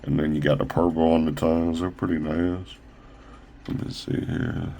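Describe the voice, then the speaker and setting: A man casually showing off his own shoe designs on a phone camera, rambling with fillers and restarts, his voice a little raspy from talking a long time. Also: very deep voice, level tone, mellow, slight background noise. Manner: halting, loose, full of fillers and restarts. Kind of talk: casual rambling product showcase.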